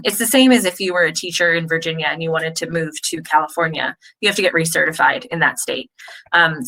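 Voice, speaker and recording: Bright, upbeat American woman hosting a livestream. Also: on the low side, rough recording. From United States. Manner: chatty and upbeat, with frequent fillers. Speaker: host of a university Q&A. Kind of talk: informative livestream presentation. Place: home in Wales. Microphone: video call.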